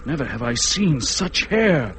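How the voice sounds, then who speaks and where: An actor playing Conan, a fierce young barbarian warrior, speaking bold archaic lines in an old radio drama. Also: male voice, medium pitch, big pitch swings, measured pace, faint music behind, rough recording. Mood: dazed, wondering. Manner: bold, loud, archaic speech. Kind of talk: classic radio drama acting.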